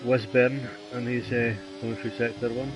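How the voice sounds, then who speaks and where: A man co-commentating a sim race, throwing in quick interjections and banter and reading out lap times. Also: medium pitch, little pitch movement, rough recording. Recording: music playing underneath. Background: music.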